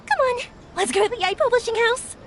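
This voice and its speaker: A woman's voice: very high voice, fairly quick, a little hiss or hum. A woman voicing a bubbly, kind big-sisterly young woman in a video game, chirpy and encouraging. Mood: upbeat. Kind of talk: animated game voice acting.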